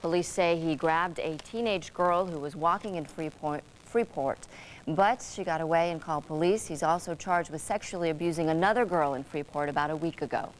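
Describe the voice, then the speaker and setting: An American woman reads the news from a script as an anchor. Her voice is on the low side, with faint noise in the recording. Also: a little fast, rough recording.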